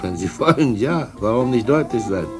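An actor in a radio drama playing a sly, sinister drug dealer and blackmailer, mockingly polite, smug and cajoling. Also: male voice, mid-range voice, lively intonation, moderate pace, music in the background, lightly acted. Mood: mocking, threatening. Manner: smug, cajoling, mock-courteous, chuckling. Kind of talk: mid-century German radio drama acting.

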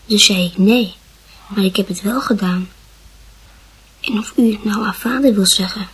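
A very husky, breathy young boy in a radio play. He speaks contritely and confidingly, like a son owning up to his mother. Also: medium pitch, normal speed, a bit bright, poor audio. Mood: guilty, confessing. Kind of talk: radio drama acting.

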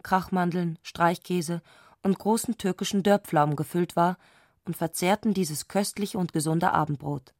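Low-voiced woman narrating literary radio drama. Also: darkish tone, dry recording, clean studio sound.

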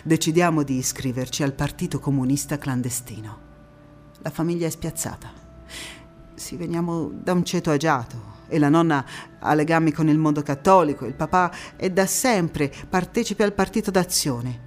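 An actress playing a passionate young Italian antifascist woman, recounting her life in a theatrical monologue with dramatic pauses and emphatic repetitions. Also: sits low, a little mellow, soft background music, lightly acted. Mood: resolute. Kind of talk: theatrical stage monologue, first-person storytelling.

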